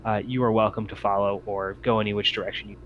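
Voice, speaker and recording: A man's voice: lowish voice, steady tone, fast, crowd noise. A man narrating a tabletop roleplaying game as game master, feeling his way through phrases with frequent ums. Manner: hesitant, piecemeal phrasing with many ums. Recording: muffled lo-fi audio with background noise.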